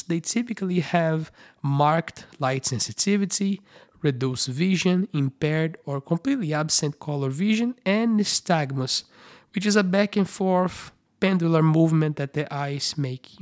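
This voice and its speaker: A man, a medical doctor, hosting a podcast and explaining eye research in simple, friendly terms, often checking in with "right?". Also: a little high.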